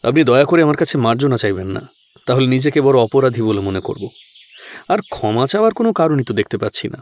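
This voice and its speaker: A man's voice: mid-range voice, very animated, fast, lightly acted. A man voicing a polite, reassuring younger tantric holy man in an audio story, speaking formally and earnestly.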